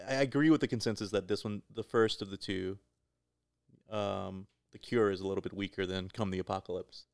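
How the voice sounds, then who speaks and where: A man's voice: on the low side, fairly even intonation, a little fast, gravelly, clean tone, dry recording, clean studio sound. A man co-hosting a podcast with casual, joking banter and an irreverent, profane way of talking. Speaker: podcast co-host.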